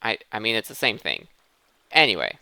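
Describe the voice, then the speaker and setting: A man gives casual gameplay commentary in a bright, clear voice. His pitch moves around in an animated way as he thinks aloud and corrects himself. The sound is close and dry, with hardly any room in it. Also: normal speed, dry recording. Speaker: gaming video host.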